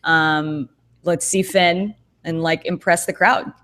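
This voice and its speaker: Low-voiced woman chatting with fillers. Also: steady tone, slow pace, a bit bright. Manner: thoughtful, rambling with many fillers and restarts. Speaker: co-host. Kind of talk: casual podcast chat. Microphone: video call.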